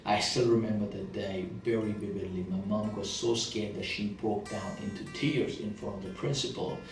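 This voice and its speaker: A man's voice: on the low side, fairly even intonation, normal speed, far from the mic. A man with a thick Indian accent, giving an earnest motivational monologue about his past struggles, repeating phrases for emphasis. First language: not English. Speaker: motivational speaker. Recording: very echoey room, voice off-mic, soft guitar music behind.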